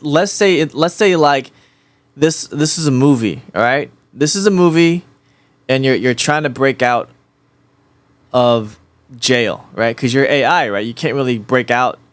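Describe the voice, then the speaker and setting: A man hosting a casual chat with an AI assistant, restarting his sentences and circling back to the same point. His voice sits at an ordinary middle pitch, and the sound is dry and close with no room around it. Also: no room sound. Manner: rambling, repeats himself, rephrases often. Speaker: host.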